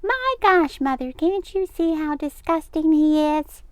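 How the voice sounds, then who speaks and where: Woman doing a high, squeaky mocking voice. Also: expressive, a little slow, darkish tone, dry recording. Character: boy mimicking his sister in squeaky mockery. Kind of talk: children's storybook reading.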